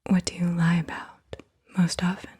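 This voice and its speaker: A woman speaking in a soft, breathy whisper, gently reading out questions in an ASMR style. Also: lowish voice, completely flat delivery, moderate pace. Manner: hushed, gentle delivery. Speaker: ASMR host. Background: computer keyboard typing.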